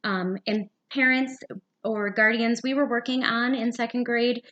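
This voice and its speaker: A woman's voice: fairly high pitch, steady tone, a little slow, a bit bright, muffled recording. A woman, a teacher, reading a picture book aloud for young children. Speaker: second-grade teacher.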